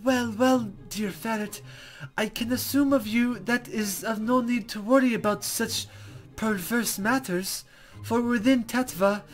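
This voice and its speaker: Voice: medium pitch, unhurried, faint music behind, voice pushed up, close to an everyday voice. A performer voicing a playful, flustered young woman guide from a fantasy visual novel, with stammering, formal, ornate speech. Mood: gently assuring. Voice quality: put-on, wavering accent.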